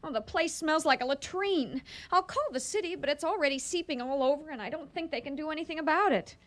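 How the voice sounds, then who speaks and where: A woman's voice: high voice, quick pace, a bit bright, dry recording. A woman playing a feisty, indignant restaurant owner with a sarcastic streak in a radio comedy. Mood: indignant, exasperated. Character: exasperated, feisty female restaurant owner, competitive and vengeful.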